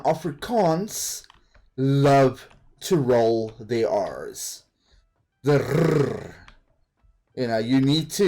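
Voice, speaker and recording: A man's voice: medium pitch, darkish tone. A man from South Africa with a South African accent, chatting in a rambling way while streaming a game and laughing easily. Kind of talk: casual chat while playing a game.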